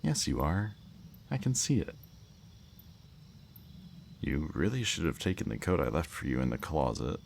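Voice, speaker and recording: A man's voice: extremely low pitch, big pitch swings, creaky, full character voice. A man playing a smug, politely menacing kidnapper in an intimate ASMR roleplay, speaking to the listener in a teasing, mock-courteous way. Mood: amused, coaxing. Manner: slow, teasing, mock-courteous, lingering over words. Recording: faint background noise, chirping night crickets.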